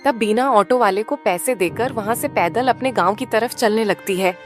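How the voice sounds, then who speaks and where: A female storyteller delivers scripted narration for a cartoon tale. Her voice sits at an average pitch, with faint music playing behind it. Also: normal speed.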